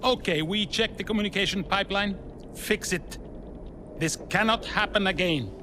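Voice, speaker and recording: Man acting harried team boss, game cutscene, measured, firm, high-pitched male voice, noisy urban vehicle background. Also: close to an everyday voice. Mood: stern.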